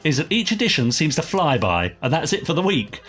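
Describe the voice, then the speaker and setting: A man's voice: on the high side, very animated, fairly quick, fairly bright tone, slightly rough, soft background music. A British man co-hosting a podcast, reading out listener messages in a chatty, enthusiastic way. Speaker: podcast co-host.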